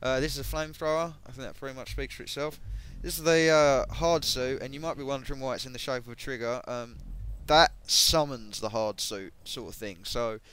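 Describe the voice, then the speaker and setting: A man giving casual, hesitant commentary on game equipment, his voice a bit croaky, with many ums and self-corrections. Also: medium pitch, brisk, hardly any pauses, fairly bright tone. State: voice going croaky. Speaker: gaming video creator.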